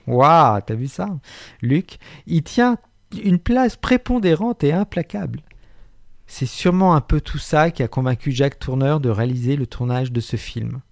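A man's voice: mid-range voice, expressive, moderate pace, gravelly, no echo, clean studio sound. A man presenting a radio book column in a wry, articulate way, with frequent hesitations. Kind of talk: radio literary chronicle, partly read.

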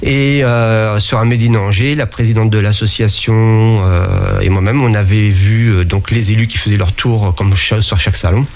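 A man with a fairly low voice talks in a relaxed interview. He stops often with fillers and restarts, and he explains things at length. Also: moderate pace, hardly any pauses. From France. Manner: hesitant, many fillers and restarts. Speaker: interviewee, sommelier and museum founder. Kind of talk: casual interview talk.

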